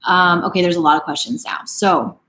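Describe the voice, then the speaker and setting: A woman's voice: on the low side, fairly even intonation, a little fast, gravelly, a bit bright, reverberant. A woman in her late twenties, a fitness coach casually answering viewer questions in a live stream, full of "like" and "um" fillers. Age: late 20s. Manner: casual, chatty, frequent "like" and "um" fillers.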